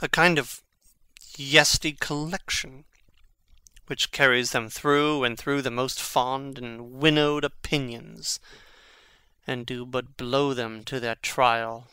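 Slow, bright-voiced brooding prince played by a man. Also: medium pitch, fairly even intonation, clean tone, dry recording. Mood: wry, scornful. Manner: measured, theatrical delivery. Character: Prince Hamlet, brooding, witty, fatalistic young prince. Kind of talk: dramatic reading of Shakespearean verse.

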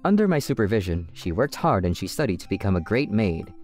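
A woman's voice: very deep voice, a little fast. A woman voicing an eager, easily flustered teenage otaku schoolboy in a manga romcom dub, sometimes hesitant and stammering. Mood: reflective narrating. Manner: excitable, often stammering and hesitant. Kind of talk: manga dub acting with first-person narration. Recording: music behind the voice.

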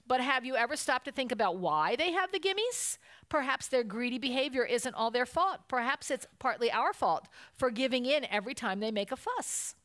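A woman's voice: high-pitched, expressive, moderate pace, a bit bright, pitched above the performer's own voice. A woman voicing a firm, sensible mother bear from a children's storybook, reasoning and scolding. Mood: calm reasoning.

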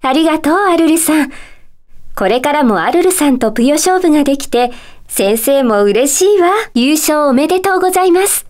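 A gentle female teacher of magic from a puzzle video game. Her voice is very high, and she speaks with courteous, composed phrasing. There is a calm air of mystery to her kindly manner. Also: normal speed. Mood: kindly, polite. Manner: polite, soft feminine phrasing, composed and kindly. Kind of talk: Japanese video game character dialogue.

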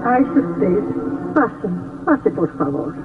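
A woman's voice: mid-range voice, sing-song, very slow, music in the background. An actress playing a weary, sorrowful mother in vintage radio melodrama, with a plaintive delivery. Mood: grieving. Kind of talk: vintage radio drama acting. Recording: tinny, distant old radio.

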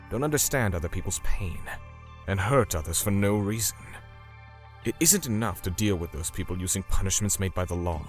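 Low-voiced, cold vigilante torturer acted by a man. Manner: measured, grim, menacing. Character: cold, righteous male torturer for hire, narrating his work. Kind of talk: scripted manga dub narration.

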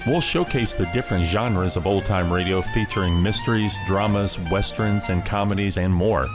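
Male host, lowish voice, scripted introduction, clear music behind. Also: normal speed.

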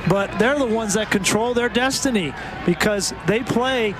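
A man's voice: sits high, measured pace, noisy background. A man giving color commentary on a football broadcast, explaining each play like a coach walking through the replay. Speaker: color analyst.

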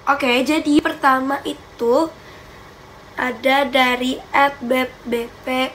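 A woman's voice: high voice, slow pace, a little hiss or hum. A young woman chatting casually through a Q&A vlog in her bedroom, a little stuffy-nosed from a cold. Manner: chatty, giggly, animated hand gestures. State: slightly stuffy nose from a cold. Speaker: vlogger. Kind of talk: casual Q&A chat. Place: small bedroom indoors.

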